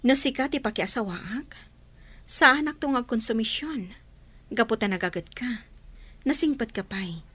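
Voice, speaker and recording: A woman acting an earnest young bride-to-be with an Ilocano accent, speaking deliberately and questioningly in a radio drama. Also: a little high, animated, fairly bright tone, breathy edge, no echo, full character voice.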